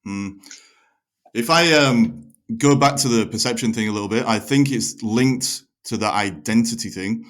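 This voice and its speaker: A man's voice: mid-range voice, normal speed, fairly bright tone. A man hosting a conversational interview podcast, explaining his ideas at length in a reflective, self-correcting way. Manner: long-winded, self-correcting. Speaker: podcast host.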